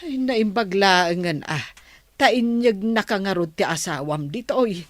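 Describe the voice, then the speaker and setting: A woman's voice: medium pitch, animated, unhurried, no room sound, natural voice. An actress playing a concerned, admonishing older mother in a radio drama, with a sighing manner.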